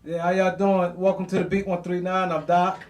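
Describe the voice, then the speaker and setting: Animateur décontracté, voix assez haute, débit relâché. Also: male voice, high voice, level tone, brisk, distant mic, over loud music. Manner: loose, rambling slang. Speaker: podcast co-host. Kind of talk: casual interview chat.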